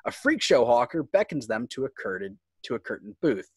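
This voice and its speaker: A man's voice: medium pitch, big pitch swings, fairly quick, husky, no echo. A man reading screenplay stage directions as the narrator of a script table read.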